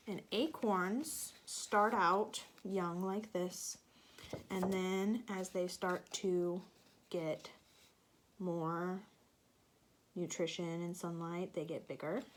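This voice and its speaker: Slow, patient woman reading a storybook. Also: mid-range voice. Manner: patient, explains with questions to young listeners. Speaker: teacher reading to children. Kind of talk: picture-book read-aloud with teaching asides.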